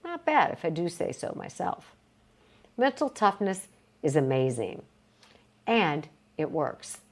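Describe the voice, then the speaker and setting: A woman reading a motivational self-help book aloud, with emphatic, deliberate phrasing and short pauses. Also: on the low side, big pitch swings. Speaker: reader of a book read aloud.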